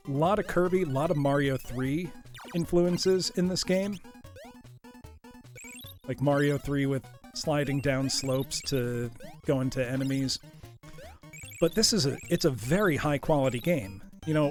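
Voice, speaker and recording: Man, congested, tired voice, casual live-stream chat, jokey, faint game music behind. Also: medium pitch. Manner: chatty, jokey, calls viewers "class". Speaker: streamer playing retro games.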